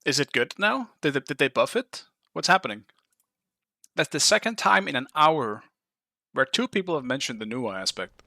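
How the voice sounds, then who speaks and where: A Danish-accented man streams a game and chats with his viewers in a bright, forceful voice. He comes across as curious and talkative, with casual swearing. The sound is dry and close. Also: mid-range voice, big pitch swings, normal speed, creaky, dry recording. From Denmark. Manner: chatty, curious, swears casually, talks to chat. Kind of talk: casual live-stream chat while playing.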